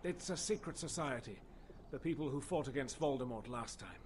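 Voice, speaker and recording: A man's voice: mid-range voice, lively intonation, fairly quick, slight background noise, natural voice. A male actor delivering scripted cutscene lines in a video game, instructive and reassuring. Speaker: game character voices. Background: game score.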